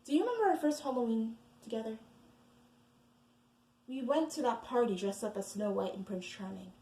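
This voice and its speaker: A woman's voice: high voice, darkish tone, roomy sound, poor audio. A woman acting a heartbroken young woman in a stage monologue, earnestly pleading with her fiancé.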